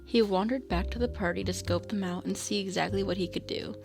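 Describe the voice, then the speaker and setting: A woman's voice: mid-range voice, steady tone, brisk, vocal fry, music in the background. Female radio drama narrator.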